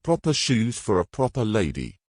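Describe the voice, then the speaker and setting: A man playing a greedy, imperious male adventurer with brisk old-fashioned speech in a fantasy audio story. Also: lowish voice, huge pitch swings, dry recording, studio quality. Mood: impatient, commanding.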